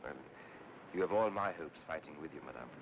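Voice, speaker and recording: An actor playing a suave, mysterious psychic in 1940s film noir style, with a measured, theatrical, insinuating manner. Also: male voice, lively intonation. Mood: smooth, knowing. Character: suave, mysterious male psychic consultant, softly seductive and insinuating. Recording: noisy old film soundtrack with rumble.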